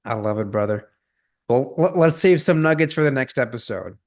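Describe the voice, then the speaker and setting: Male host, mid pitch, friendly, affirming, casual. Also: lively intonation, moderate pace, darkish tone. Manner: friendly, affirming, calls guest brother. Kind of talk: casual interview talk.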